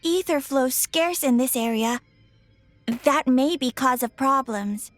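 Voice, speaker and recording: An earnest little android girl for a game. She speaks slowly in a high, dry voice, with literal, broken grammar. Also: no room sound, faint music behind. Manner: broken grammar, refers to herself in third person. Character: small artificial girl robot, earnest and literal.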